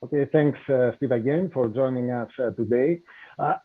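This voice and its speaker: A man hosting a business podcast, introducing his guest and asking questions with many "uh" pauses. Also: medium pitch, husky. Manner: hesitant, many "uh" fillers. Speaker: podcast host. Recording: tinny phone-like call audio.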